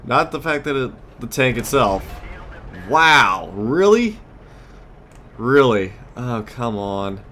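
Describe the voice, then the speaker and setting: A man's voice: medium pitch, sing-song, takes it slowly, pushed and bright. A man giving casual gameplay commentary as a let's play host, muttering and exclaiming as he plays. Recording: clear background noise, game ambience.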